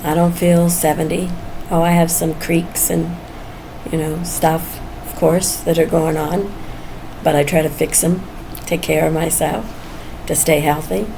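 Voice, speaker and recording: An older woman, around seventy, speaks slowly in a low, gravelly voice. She muses candidly and casually, as in a personal vlog, with clear background noise behind her. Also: level tone. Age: almost 70. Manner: candid, musing, chuckles often. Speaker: vlogger. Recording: noisy background ambience.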